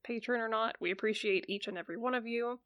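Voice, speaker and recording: A woman's voice: a little high, fast talker, no room sound, dull audio. A woman hosting a casual podcast chat, chatty and laughing often, with plenty of filler words. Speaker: podcast host.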